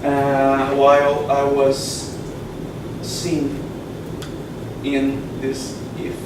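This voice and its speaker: Brazilian man presenting a paper, flat halting delivery, distant noisy echoey hall. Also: mid-range voice. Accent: Brazilian Portuguese. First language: Portuguese. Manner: halting, many pauses and fillers. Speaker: conference presenter. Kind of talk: academic conference talk. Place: conference session room. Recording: echoey room from far off, noisy.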